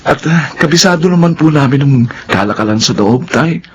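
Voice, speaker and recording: A man's voice: on the high side, lively intonation, normal speed, a little hiss or hum, close to an everyday voice. A man playing a respectful, hesitant young son-in-law, speaking politely in a Filipino radio drama. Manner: polite, deferential.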